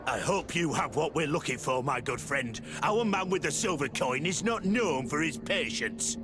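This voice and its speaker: An actor playing a bullying, mocking guard thug who extorts people in a video game. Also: male voice, a little high, animated, normal speed, music in the background, close to an everyday voice. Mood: mocking threat. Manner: mocking, taunting, threatening.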